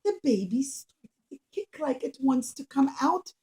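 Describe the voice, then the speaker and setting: A woman telling a story to children as a puppeteer, in simple, expressive, encouraging teacher talk. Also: high-pitched, unhurried.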